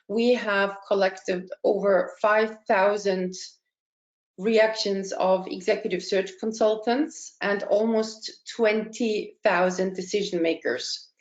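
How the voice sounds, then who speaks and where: A woman, presenting a webinar as a career consultant in a measured, explanatory way. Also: mid-range voice. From Austria. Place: indoor room, online call. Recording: dull, roomy online webinar audio.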